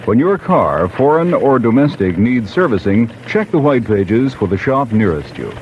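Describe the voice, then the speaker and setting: A man's voice: medium pitch, big pitch swings, normal speed, a little mellow. Male local-spot announcer, middle register, slightly muffled vintage radio sound. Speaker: local commercial tag announcer. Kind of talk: scripted radio ad read. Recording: dull old radio recording, faint noise.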